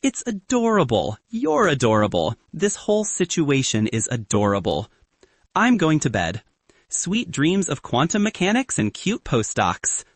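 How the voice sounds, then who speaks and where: A man's voice: on the high side, huge pitch swings, a little slow, no echo, full character voice. A man voicing a teasing, protective young male roommate who ribs his friend about a new crush. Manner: teasing, big-brotherly.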